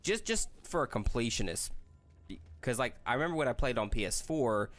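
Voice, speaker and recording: A man's voice: mid-range voice, animated, brisk, fairly bright tone, soft background music. A man co-hosting a gaming show, chatting casually about video games.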